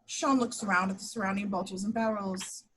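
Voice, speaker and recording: A woman's voice: mid-range voice, steady tone, brisk, fairly bright tone, slight background noise. A woman reading action descriptions as the narrator of a scripted table read.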